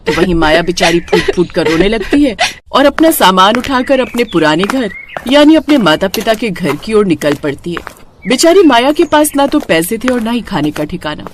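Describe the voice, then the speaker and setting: A woman's voice: medium pitch, sing-song, moderate pace, background noise. A woman storyteller reading scripted narration for a cartoon moral tale.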